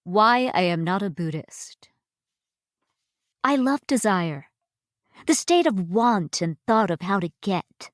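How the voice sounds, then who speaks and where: Slow, deliberate woman reciting poetry. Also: medium pitch, no echo, clean studio sound. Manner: deliberate, expressive, pausing between phrases. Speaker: reader of poetry.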